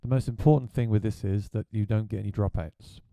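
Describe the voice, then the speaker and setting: A man with a deep voice, a gigging musician, chatting plainly through a gear review. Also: fairly even intonation, fairly quick, vocal fry, mellow, no room sound.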